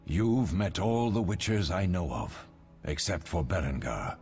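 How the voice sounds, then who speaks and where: An actor playing a wise, fatherly older mentor of monster hunters in a video game, measured and instructive. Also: low voice, very animated, creaky, a little mellow, faint music behind, exaggerated voice. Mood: reflective.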